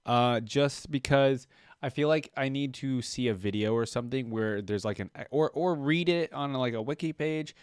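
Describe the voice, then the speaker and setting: A man giving casual, informal and expressive reaction commentary on video game content. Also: mid-range voice, normal speed, smooth, dry recording.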